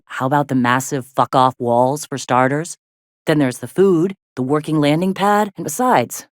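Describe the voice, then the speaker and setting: A woman's voice: a bass voice, no echo. A tough frontier rebel medic for a video game, recorded close and dry. She is plain-spoken and sardonic, an earthy, swearing second-in-command who sounds worn down by the fight. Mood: blunt, guarded. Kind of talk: video game dialogue acting.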